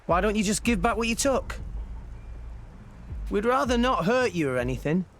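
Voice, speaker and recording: A man's voice: high voice, sing-song, normal speed, fairly bright tone, a little hiss or hum. A man voicing an earnest young male hero who speaks in a coaxing way. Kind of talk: video game voice acting.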